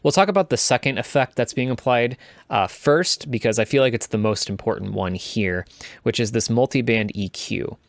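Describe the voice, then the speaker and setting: A man presenting a casual tutorial as a game sound designer, explaining things in a measured way. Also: mid-range voice, no room sound.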